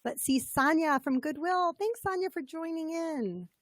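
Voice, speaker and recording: A woman's voice: extremely high pitch, expressive, unhurried, dry recording. A woman coach with a slightly scratchy voice, leading an interactive webinar in an upbeat, chatty way and greeting participants by name. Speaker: business coach presenting a webinar.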